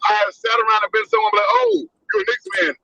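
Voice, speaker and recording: A man's voice: very high-pitched, very animated, fast, creaky, sharp tone, no echo, highs cut off. A man in his thirties in an impassioned fan rant, emphatic and heated, hammering repeated phrases. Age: 30s. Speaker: podcast panelist.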